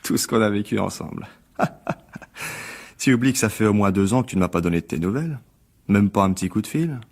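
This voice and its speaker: An actor playing a bitter, sarcastic failed actor turned critic in a French radio drama, needling with false cheer. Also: male voice, on the low side, fast talker, vocal fry. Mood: mocking.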